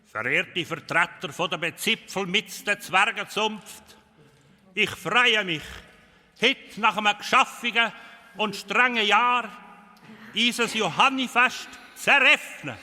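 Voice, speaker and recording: Slow, high-voiced pompous old dwarf. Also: male voice, pushed and bright, full character voice. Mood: solemn, proud. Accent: Swiss German. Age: older. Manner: solemn, declamatory, proud. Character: pompous bearded dwarf elder opening a festival. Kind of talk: ceremonial speech in children's radio play. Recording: long cavernous hall reverb.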